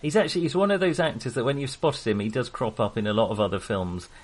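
A man's voice: medium pitch, quick pace. A man co-hosting a casual film discussion, chatty, enthusiastic and full of opinions. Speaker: film podcast co-host.

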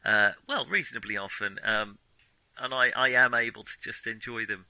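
A man's voice: mid-range voice, moderate pace, gravelly, pushed and bright, dry recording, muffled recording. A man in his forties co-hosting a podcast, talking films casually in a hesitant, self-questioning, rambling way. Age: 40s. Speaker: podcast co-host. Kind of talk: casual film discussion.

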